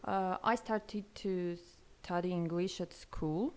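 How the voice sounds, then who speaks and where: A woman, a guest in a casual interview, speaking hesitantly with many fillers and pauses. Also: lowish voice, a little mellow, clear, resonant tone. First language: Armenian.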